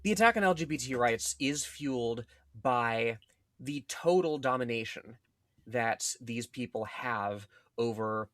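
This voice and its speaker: Male podcast co-host, dry close-up sound, average pitch, halting and cerebral in casual discussion. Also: animated, fairly bright tone, no room sound. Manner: halting, repetitive, wordy and intellectual.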